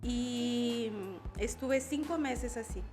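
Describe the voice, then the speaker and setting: A Salvadoran woman in her forties, an activist guest in an interview, speaking in a reflective, measured way with many pauses. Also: on the high side, darkish tone, soft background music. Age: 40s. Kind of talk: personal testimony in interview.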